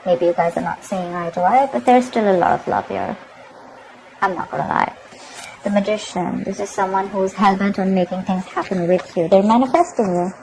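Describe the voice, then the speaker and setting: A woman's voice: medium pitch, big pitch swings, normal speed, creaky, a little mellow, slight background noise, low-quality recording. A woman giving a tarot reading to her viewers, emphatic and repetitive, often checking in with "okay?". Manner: emphatic, repeats herself, keeps asking "okay?". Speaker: tarot reader.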